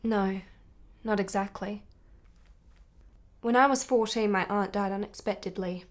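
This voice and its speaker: An actress plays a passionate young married woman in a period radio play, mid-pitched and articulate. She is candid and intense, with a measured delivery full of yearning. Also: fairly even intonation, close to an everyday voice. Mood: reflective. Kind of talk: radio drama acting.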